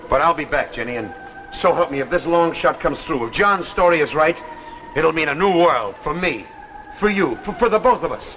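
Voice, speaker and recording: An actor plays a stubborn American man with a slightly high voice. He is intense and emphatic in the manner of 1950s TV drama. The old soundtrack is dull with faint noise. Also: lively intonation, brisk, natural voice. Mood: fervent. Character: stubborn, driven man hunting for proof of saucers. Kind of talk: 1950s television drama acting.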